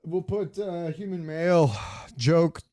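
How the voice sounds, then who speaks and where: Clear-voiced man chatting about games, dry sound. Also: a little high, slow pace, warm tone, no echo. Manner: joking, opinionated, swears casually. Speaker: podcast host. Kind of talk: casual gaming chat.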